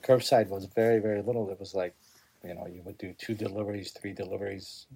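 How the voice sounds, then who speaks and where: A man answering interview questions casually as a small business owner, plainspoken and halting, repeating himself for emphasis. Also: fairly low pitch, flat delivery, vocal fry, warm tone, breathy edge.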